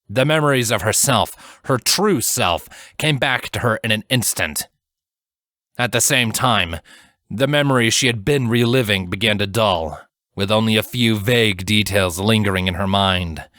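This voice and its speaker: A man narrating a dramatic fantasy story from a script in a measured, solemn storytelling manner. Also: mid-range voice, a bit bright. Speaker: audiobook narrator.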